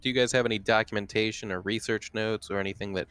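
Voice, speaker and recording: A man plays a tabletop roleplaying game, talking casually and agreeably in character. His voice is low, clear and smooth, with little rise and fall. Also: steady tone, quick pace, a bit bright, dry recording.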